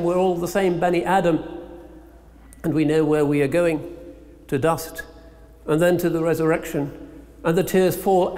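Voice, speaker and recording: A middle-aged man delivering a solemn, measured sermon in short rhetorical phrases with pauses, as an imam. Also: high-pitched, darkish tone. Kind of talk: Eid sermon. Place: large mosque interior, at a lectern. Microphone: microphone on a stand. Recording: long hall reverb.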